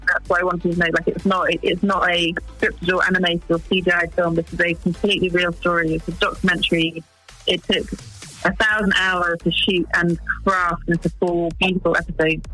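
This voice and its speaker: Woman, 40s, from East Africa, wildlife filmmaker, interview guest, earnest, restarts phrases, bright tone, faint music behind. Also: lowish voice, rough recording. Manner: earnest, searching for words with restarts.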